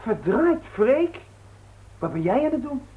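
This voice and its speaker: An actor playing a cocky, playful student son, eager and jocular, in a 1960s radio play. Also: male voice, very high-pitched, sing-song, unhurried, exaggerated voice. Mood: curious, eager. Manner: cheeky, jocular. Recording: narrow, tinny 1960s radio recording.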